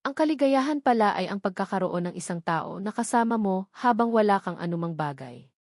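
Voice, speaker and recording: A woman with a Filipino accent voicing a calm, sincere, resolute young heiress in an audiobook romance. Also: medium pitch, brisk, no echo, clean studio sound. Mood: tender reflection.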